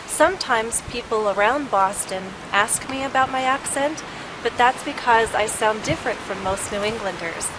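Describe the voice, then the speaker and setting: Clear-spoken American woman teacher. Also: a little high, moderate pace, background noise. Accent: General American. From United States. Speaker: English teacher. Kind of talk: scripted lesson narration.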